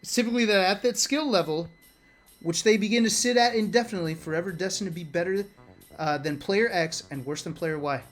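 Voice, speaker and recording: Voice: medium pitch, brisk. A streamer reading a book aloud in a casual way, breaking off for wry asides and talking directly to viewers. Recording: music playing behind the voice. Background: background music.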